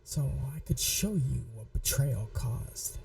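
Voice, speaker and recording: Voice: medium pitch, lively intonation, slow pace, vocal fry, darkish tone, far from the mic, full character voice. A performer voicing a possessive, manipulative young yandere in an ASMR roleplay, taunting and coldly controlled. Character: possessive, manipulative yandere childhood friend, menacing young blackmailer. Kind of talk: scripted ASMR roleplay audio drama.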